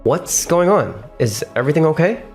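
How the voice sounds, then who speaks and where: A man's voice: fairly high pitch, very animated, darkish tone. Man acting as an earnest office professional, music behind. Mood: concerned. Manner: polite, measured. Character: earnest, dependable, courteous man of the office world. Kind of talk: anime-style dramatized dub acting and narration.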